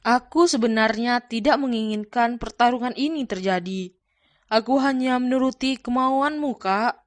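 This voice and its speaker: Dutiful younger brother for folktale cartoon played by a woman; raised pitch, respectful, earnest. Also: normal speed, no room sound, natural voice. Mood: reluctant, resigned. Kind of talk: cartoon folktale character acting.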